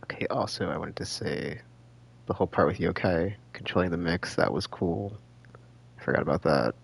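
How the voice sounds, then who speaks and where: A man's voice: low-pitched, moderate pace, creaky, breathy edge. A man giving casual live game stream commentary, thinking aloud in a rambling, hesitant, slangy way.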